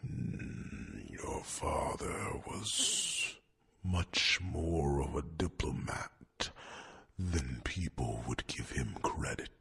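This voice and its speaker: An ancient, gentle dragon counsels in an ASMR fantasy roleplay. The voice is extraordinarily low and crackles with heavy fry. It moves very slowly and deliberately, pausing on thoughtful hums. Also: male voice, lively intonation, full character voice. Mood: grave, counselling. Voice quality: gravelly, breathy, rumbling whisper. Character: old wise dragon, gentle male royal advisor to a young dragon.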